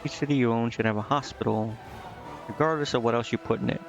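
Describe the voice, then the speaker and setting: A man's voice: medium pitch, steady tone, fast talker, creaky. A man streaming a game, giving casual, informal commentary and reading aloud, often muttering to himself. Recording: muffled lo-fi stream audio over game music. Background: game music.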